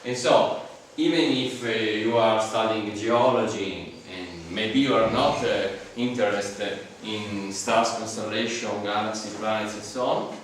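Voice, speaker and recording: Lecturer, male, Italian accent, low voice, halting delivery, heard from a distance. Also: slight background noise. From Italy. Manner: halting, many fillers and restarts. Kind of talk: guest seminar lecture in English. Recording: echoey hall from far off.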